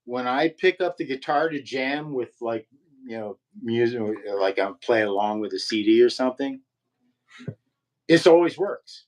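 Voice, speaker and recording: A man with a low voice in casual conversation, rambling and halting with many fillers and restarts. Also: darkish tone, poor audio. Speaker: podcast co-host. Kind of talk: casual chat about guitar.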